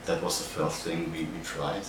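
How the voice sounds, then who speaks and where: A young man from Austria with an Austrian accent, a native German speaker, haltingly explaining a project as an art student. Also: extremely low pitch, flat delivery. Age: young adult. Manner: hesitant, halting delivery with searching pauses. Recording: very echoey, distant mic, noisy background.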